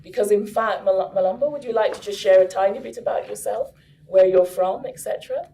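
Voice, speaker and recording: A woman's voice: mid-range voice, fairly quick, creaky, warm tone, poor audio. A woman hosting a story circle at a conference, explaining how it works in a friendly way with light jokes. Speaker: host. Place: conference room.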